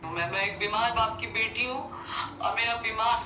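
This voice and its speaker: A woman's voice: fairly high pitch, steady tone, moderate pace. A woman arguing her case on a recorded call, insistent and aggrieved, pressing rhetorical questions. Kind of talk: phone call, pleading argument. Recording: echoey, distant phone-call recording with faint noise.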